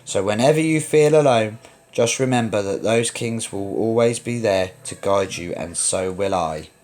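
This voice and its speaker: A man's voice: on the low side, normal speed, a little mellow, lightly acted. A man voicing a noble, protective lion king and father in a storybook, speaking in a solemn, fatherly way. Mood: gentle, wise.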